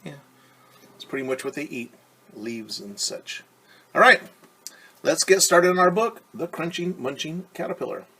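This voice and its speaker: A man reading a picture book aloud as a teacher, pausing to ask children questions and explain things simply. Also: fairly high pitch, expressive, sharp tone, breathy edge.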